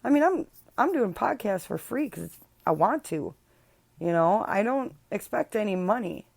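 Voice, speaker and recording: A woman's voice: mid-range voice, lively intonation, moderate pace, vocal fry, darkish tone, no room sound. A young adult woman, hosting a casual solo podcast, blunt and chatty with many ums and sarcastic asides. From Illinois. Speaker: podcast host.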